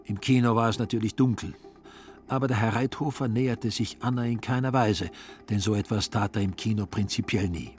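A man reading literary radio narration in a measured storytelling manner. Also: on the low side, a little mellow, faint music behind. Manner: measured storytelling in reported speech.

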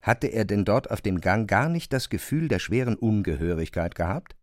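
A man's voice: lowish voice, big pitch swings, normal speed, dry recording, studio quality. A man reading scripted literary narration for a radio play.

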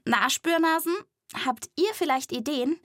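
A woman's voice: sits high, a little slow, no echo, clean studio sound. A woman narrating a scripted wildlife story for children, with a vivid, playful storytelling manner. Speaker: host of a children's wildlife podcast.

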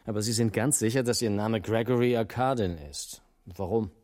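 A man's voice: fairly low pitch, moderate pace, a little mellow, close to an everyday voice. A man playing a brash, suspicious small-time crook in a dubbed film drama, blunt, sardonic and probing.

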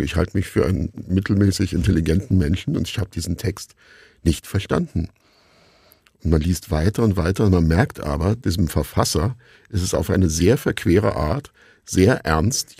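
A middle-aged man being interviewed as a radio drama maker, telling stories in a musing, halting, self-deprecating conversational way. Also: sits low, creaky, mellow, slightly rough, dry recording, clean studio sound. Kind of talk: reflective conversational storytelling in an interview.

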